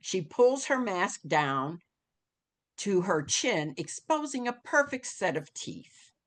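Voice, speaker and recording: A woman's voice: medium pitch, very animated, slow pace. A woman reading scene headings and action from a screenplay as dramatic scripted narration. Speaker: stage directions reader.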